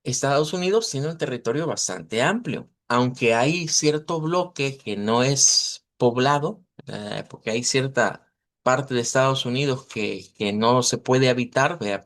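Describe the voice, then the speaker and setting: A male teacher with a Latin American Spanish accent and a mid-pitched voice. He explains pronunciation in an informal, didactic way. His delivery is deliberate, with small check-in questions along the way. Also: creaky. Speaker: language teacher. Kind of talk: informal online language lesson, explaining pronunciation.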